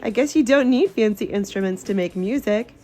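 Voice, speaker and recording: A woman voicing a bubbly, excitable young storybook girl with exclamatory, drawn-out emphasis. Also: medium pitch, faint music behind, lightly acted. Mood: excited.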